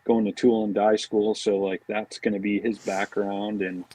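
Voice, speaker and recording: A man's voice: lowish voice, level tone, moderate pace, mellow, breathy edge, rough recording. An American man talking casually as an interview guest, rambling informally and often dropping in "you know" and "man". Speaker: podcast guest, fishing products marketing director.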